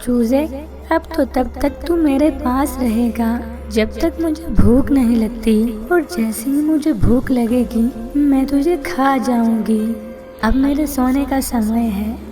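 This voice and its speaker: Cartoon witch, female, greedy and cruel, high and drawn out. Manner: gloating, threatening.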